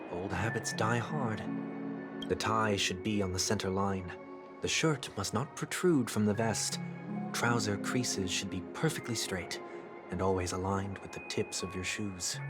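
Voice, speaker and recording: A man's voice: sits low, lightly acted. An actor playing a courteous, solemn and principled young man, speaking formally and eloquently in a video game. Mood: measured, wistful. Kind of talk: scripted video game voice acting. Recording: loud music behind the voice.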